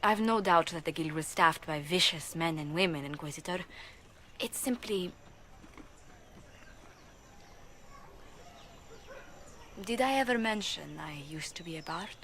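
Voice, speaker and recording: A woman voicing a refined, gentle young noblewoman diplomat in a video game, speaking politely, formally and earnestly over background noise. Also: fairly low pitch, normal speed. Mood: reflective. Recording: clear background ambience noise.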